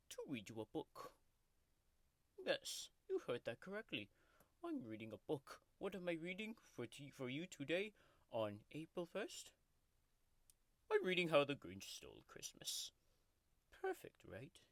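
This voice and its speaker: A woman's voice: low voice, no room sound, heavily acted. A woman voicing a grumpy, foul-mouthed male tiger-like creature who whispers and mocks while reading a bedtime story as comedic ASMR. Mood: mocking storytelling. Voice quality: whispery, crude and mocking.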